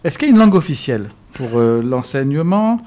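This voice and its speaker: A man's voice: on the high side, very animated, fairly quick, mellow. A man hosting a radio interview, asking his questions hesitantly with many fillers. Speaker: radio host.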